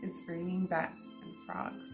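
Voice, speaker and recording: A woman reading a children's story aloud in a deliberate, expressive storytime style. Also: fairly low pitch, faint music behind.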